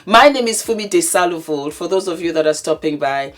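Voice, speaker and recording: A woman's voice: on the low side, lively intonation, a little fast. A woman in her fifties hosting a casual advice vlog to camera at home, with theatrical, affectionate greetings and laughs. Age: 50s. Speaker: vlogger host. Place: home, indoors. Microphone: camera mic.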